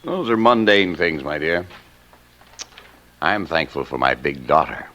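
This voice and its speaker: A man's voice: rumbling low, expressive, pushed and bright, dull audio, a little hiss or hum, full character voice. An actor playing a middle-aged father in an old radio drama, genial and mock-formal, firm when pestered. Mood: contented, teasing. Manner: measured, jocular, mock-formal, sternly paternal. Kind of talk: 1940s-50s radio drama acting.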